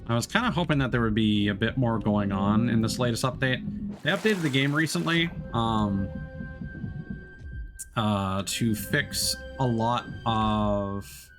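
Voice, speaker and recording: A man's voice: on the low side, unhurried, over music. A man streaming a game, talking casually and explaining things at length with frequent fillers. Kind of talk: casual gameplay commentary.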